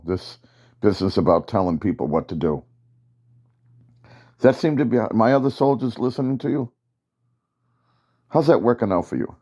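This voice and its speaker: A man's voice: low voice, vocal fry, warm tone. A man voicing a doubtful male soldier who questions and mocks his commander's orders. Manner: hesitant at first, then mocking and challenging.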